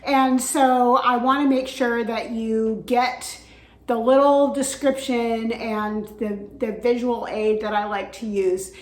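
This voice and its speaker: A woman's voice: fairly high pitch, fairly even intonation, takes it slowly. A woman vlogging about her weight-loss journey, chatty with frequent fillers, her voice weak from recovering from the flu. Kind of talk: casual instructional vlog. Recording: echoey room.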